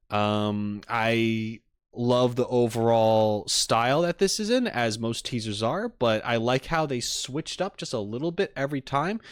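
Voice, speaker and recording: A man's voice: mid-range voice, no echo, studio quality. A man hosting a stream, giving casual, enthusiastic and analytical reaction commentary in a rambling way as he talks with chat. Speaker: streamer host.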